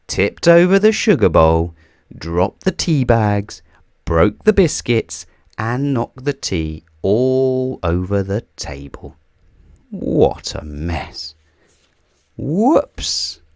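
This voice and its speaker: A man reading a children's storybook aloud in a theatrical, deliberate way, drawing out key words for emphasis. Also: on the low side, big pitch swings, a little mellow, no echo, studio quality. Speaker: children's book reader. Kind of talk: scripted storybook read-aloud.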